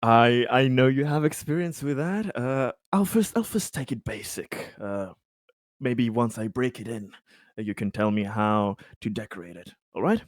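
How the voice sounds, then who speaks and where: A man's voice: medium pitch, lively intonation, moderate pace, no echo. Man chatting casually, very dry sound. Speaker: tabletop game player. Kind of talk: casual chat during a roleplaying game.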